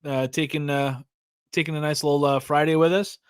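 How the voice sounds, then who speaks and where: A man's voice: a little high, level tone, a little fast, clean tone, no room sound, studio quality. Chatty American man in his forties. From California, USA. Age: 40s. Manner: rambling, lots of fillers and restarts, reads chat aloud. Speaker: podcast host. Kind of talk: casual livestream chat.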